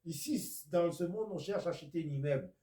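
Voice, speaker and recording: A man from Congo preaching a video sermon, a speaker of Lingala and Kikongo who swings from loud declaiming to near-whispers. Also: on the high side, a little fast, roomy sound.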